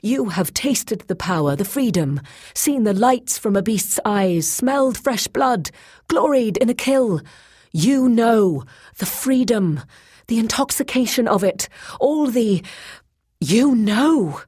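A woman's voice: on the low side, expressive, normal speed, few pauses, husky, pitched above the performer's own voice. A woman voicing a proud, passionate male shapeshifter in a fantasy story, pleading with urgent intensity. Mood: eager pleading. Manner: urgent, imploring, intense delivery.

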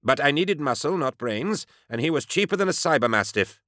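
A man's voice: fairly high pitch, animated, a little fast, fairly bright tone, dry recording, studio quality, pitched above the performer's own voice. A man voicing a haughty, querulous academic, pompous and imperious, in an audiobook. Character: haughty, querulous male academic doctor, piqued and self-important.

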